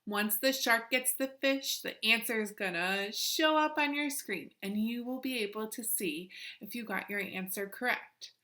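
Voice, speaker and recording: A woman's voice: fairly high pitch, a little slow, hardly any pauses, sharp tone. A woman teacher leading an interactive children's lesson, encouraging, with simple instructions, questions and repetition. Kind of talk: interactive children's lesson, addressing viewers through the screen.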